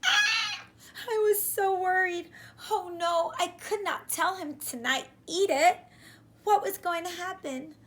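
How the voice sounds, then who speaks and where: Woman playing an anxious, high-pitched young boy. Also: lively intonation, takes it slowly, a bit bright, full character voice. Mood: panicked, pleading. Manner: urgent, exclaiming, dramatic. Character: anxious young boy narrator, dramatic and panicky.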